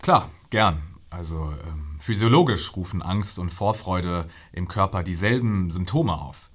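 Husky, low-voiced male visionary scientist. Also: lively intonation. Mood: explanatory, composed. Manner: deliberate, with rhetorical questions and emphatic pauses. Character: earnest male visionary scientist explaining his research. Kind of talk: audio drama, staged interview.

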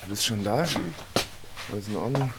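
A man's voice: fairly low pitch, moderate pace, heavy background noise, close to an everyday voice. An actor playing a weary, irritable husband and father who is a politician, in a naturalistic radio drama. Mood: weary, evasive.

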